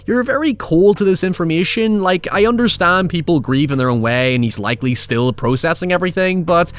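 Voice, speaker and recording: A man's voice: sits high, a little fast, clear, resonant tone. A man narrating a scripted, opinionated video essay as its host, with wry, sardonic asides and casual swearing. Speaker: host of a film retrospective show.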